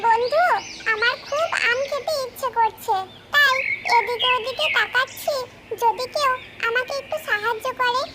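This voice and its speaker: A woman's voice: very high-pitched, normal speed, noisy background. A woman voicing a friendly, loyal, hungry fox in a cartoon fairy tale. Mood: wistful. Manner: friendly, pleading.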